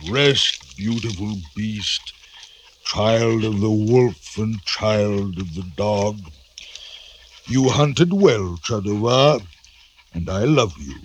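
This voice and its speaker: A man's voice: lowish voice, slight background noise. A man playing a sentimental, grandiose old Russian general in an old-time radio drama, with ponderous, theatrical repetition. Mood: tender.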